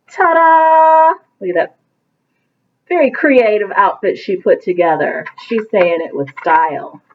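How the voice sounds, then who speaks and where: A woman reading a picture book aloud to children like a teacher, with encouraging asides that explain things simply. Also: medium pitch. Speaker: teacher reading a bedtime story. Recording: thin, phone-like narrowband sound.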